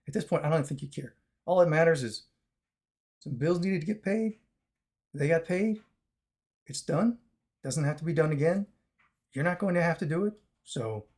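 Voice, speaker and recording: A man with a raspy, chesty voice talks in a loose, rambling way, full of fillers and restarts. He is still recovering after a long fast. His voice sits a touch high and sounds husky throughout. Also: a little mellow. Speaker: vlogger. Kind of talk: casual talk to camera.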